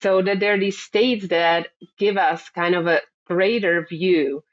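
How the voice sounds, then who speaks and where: A middle-aged woman from the Republic, a therapist answering interview questions in a thoughtful, halting way with many fillers and repeated words. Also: fairly low pitch, fairly even intonation. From Czech Republic. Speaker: therapist guest. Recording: dull lo-fi sound.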